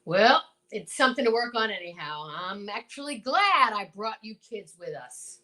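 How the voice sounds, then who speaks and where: Woman playing a folksy old marshal, bright and animated. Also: a little high, moderate pace. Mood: folksy, pleased. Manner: folksy, drawling exclamations, hemming. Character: folksy older male small-town marshal, dutiful, flustered. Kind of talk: old-time radio drama acting.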